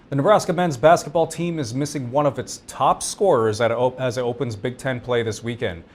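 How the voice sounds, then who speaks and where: Male news anchor, mid-pitched voice, scripted reporting, faint noise behind.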